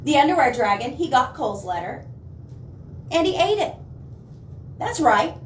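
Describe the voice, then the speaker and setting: A woman reading picture books aloud to young children, with expressive dramatic emphasis and chatty asides to her listeners. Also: high voice, moderate pace. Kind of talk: animated storytime read-aloud for children. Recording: roomy, distant mic, faint noise.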